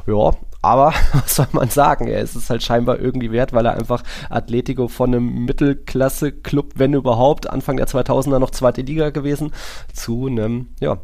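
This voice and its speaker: A man's voice: medium pitch, fairly even intonation, a little fast, vocal fry, a little mellow. A man talking football casually as a podcast host, in an informal, digressive, opinionated way.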